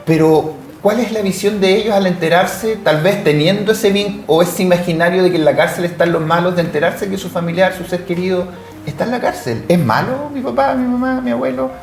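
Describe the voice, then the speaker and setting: Halting Chilean man interviewing, roomy echo. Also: high-pitched, creaky, soft background music. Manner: halting, repeats words while forming questions. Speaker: TV interview co-host. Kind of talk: conversational interview questioning. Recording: roomy echo, faint background noise.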